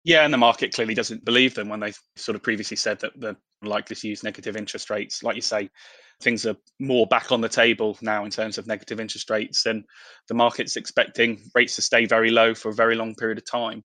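A man's voice: fairly low pitch, flat delivery, quick pace, bright, forceful tone, dry recording. A man speaking as an expert interview guest, explaining things conversationally. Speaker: investment professional, interview guest.

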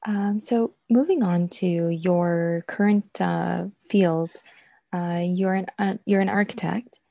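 A woman's voice: lowish voice, fairly even intonation, slow pace, gravelly, mellow, no room sound. A woman interviewing a guest, asking probing questions with hesitant ums along the way. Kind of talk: casual interview.

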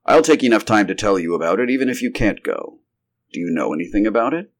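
A man reads the part of a quiet, steady secret agent from a pulp storybook. His voice is low and he talks in a businesslike, matter-of-fact manner. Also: fast talker. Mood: obliging. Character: steady, quiet male Secret Service operative. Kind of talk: acted story dialogue.

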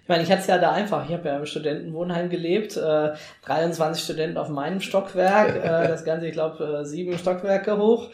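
A man with a gravelly, fry-edged voice hosts a podcast interview. He is recorded in a roomy, echoey space and speaks in a relaxed conversational way. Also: a little high, fairly quick, few pauses. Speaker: podcast host.

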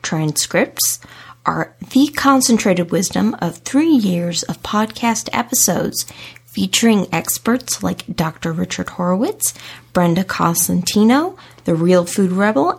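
Woman, husky voice, gravelly, upbeat podcast co-host. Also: mid-range voice, lively intonation, a little slow. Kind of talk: casual podcast chat.

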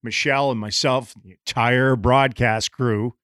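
A man's voice: mid-range voice, steady tone, measured pace, a bit bright, clean tone, dry recording, clean studio sound. A middle-aged man hosting a podcast, teasing his guests and stumbling over words. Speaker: podcast host. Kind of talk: casual podcast chat.

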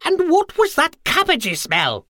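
Man doing a shrill vain witch. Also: huge pitch swings, unhurried, bright, forceful tone, no echo, studio quality, exaggerated voice. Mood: outraged. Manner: shrill, bossy, dramatic squealing. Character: vain shrieking female witch, fussy and haughty. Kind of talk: storybook character voice.

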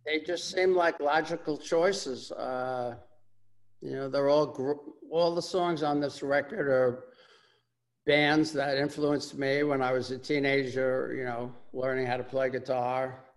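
A man's voice: medium pitch, a little slow. Older man, Bronx accent, guitarist guest, casual rambling chat, lots of fillers. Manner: relaxed, rambling, many fillers.

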